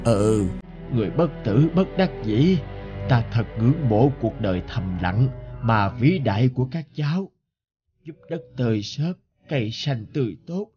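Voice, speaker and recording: An actor playing a thousand-year-old plastic bag, a gentle, regretful grandfatherly figure who speaks wistfully in a cartoon. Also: mid-range voice, expressive, a little slow, highs cut off, faint music behind, natural voice. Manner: wistful, philosophical, addressing youngsters tenderly. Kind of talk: scripted cartoon dialogue.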